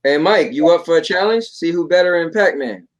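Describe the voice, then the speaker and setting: A man's voice: a little high, animated, brisk. A man chatting casually as a panelist, rambling and repeating himself, often checking in with the listener. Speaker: talk show panelist. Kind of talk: casual chat about games and films.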